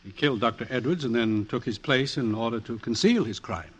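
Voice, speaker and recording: An actor playing a discreet, formal doctor with a measured manner, in 1940s radio drama style. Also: male voice, mid-range voice, husky, close to an everyday voice. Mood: grave, certain.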